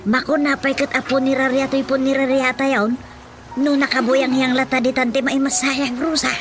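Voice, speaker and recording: A woman's voice: high-pitched, flat delivery, moderate pace, natural voice. A woman playing a fretful, complaining mother of a grown daughter in a radio drama comedy, grumbling in an Ilocano accent. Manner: exasperated, grumbling. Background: music.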